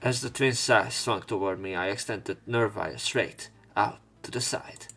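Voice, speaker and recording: A man's voice: lowish voice, a little slow, creaky, pushed and bright, faint music behind. A man hosting a let's play, reading visual novel narration aloud in a dramatic way, with commentary. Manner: acts out lines and exclamations.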